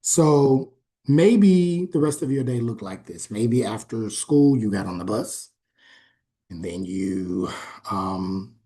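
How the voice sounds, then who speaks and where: A man giving a recorded class lecture in a conversational way, often checking in with "right?" and pausing on "um". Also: lowish voice, expressive, dark tone. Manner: conversational, frequent "right?" check-ins and "um" pauses.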